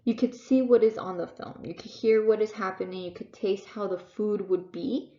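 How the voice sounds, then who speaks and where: A young woman with a Texan accent and a congested nose, tutoring students in a casual, halting way full of fillers and restarts. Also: mid-range voice, warm tone. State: congested, sniffling. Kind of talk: recorded online reading lesson. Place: at home. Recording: echoey, dull lo-fi home recording.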